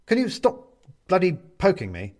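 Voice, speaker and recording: A man's voice: sits high, huge pitch swings, unhurried, darkish tone, pitched above the performer's own voice, heavily acted. A man voicing a selfish, grumpy man who is lost and keeps stammering in exasperation. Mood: flustered. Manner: flustered, stammering.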